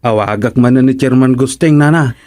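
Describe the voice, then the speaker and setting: An everyday village father, played by a man, in a radio drama. His talk is conversational and mid-pitched, recorded dry. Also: normal speed, darkish tone, dry recording, lightly acted. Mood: concerned.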